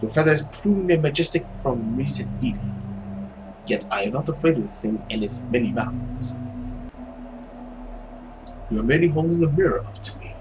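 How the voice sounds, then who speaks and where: A man voicing a brooding, long-lived, loveless man, narrating a visual novel in a grave, dramatic and measured way. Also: medium pitch, lively intonation, background noise, natural voice. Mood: dread.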